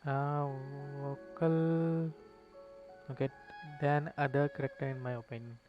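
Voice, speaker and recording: A man's voice: fairly high pitch, a little slow, phone-quality sound. A young man with an Indian accent, a gaming streamer giving casual, teasing commentary in offhand asides. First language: Hindi. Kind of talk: casual gameplay commentary mixing Hindi and English. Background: game music and dialogue.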